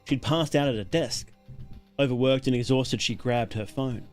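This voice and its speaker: A man narrating a horror story as a livestreamer, dramatic and solemn, in deliberate, weighted phrases. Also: mid-range voice, a little mellow, soft background music.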